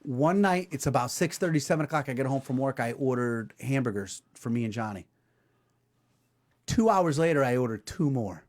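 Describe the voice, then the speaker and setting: A man in his forties hosting a casual comedic podcast chat, telling stories animatedly and doing quick voices. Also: medium pitch. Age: 40s. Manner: animated storyteller, puts on voices. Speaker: podcast co-host.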